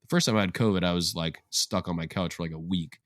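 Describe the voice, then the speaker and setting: A man's voice: deep voice, quick pace, no echo, studio quality. A young man co-hosting a podcast, telling stories in a loose, slangy, profane way while getting over covid. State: recovering from covid. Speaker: podcast co-host. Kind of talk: casual podcast chat.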